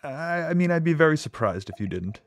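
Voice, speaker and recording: A man's voice: on the high side, very animated, a little fast. A man playing a nebbish lab assistant in an audio drama, hesitant, fussy and apologetic in naturalistic dialogue. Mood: fussy, teasing.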